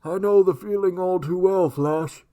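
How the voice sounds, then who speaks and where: Man doing a grim masked vigilante, radio drama, high mellow voice, very slow, formal. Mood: grave. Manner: measured, grave, formal. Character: Sandman, grim 1940s masked male vigilante.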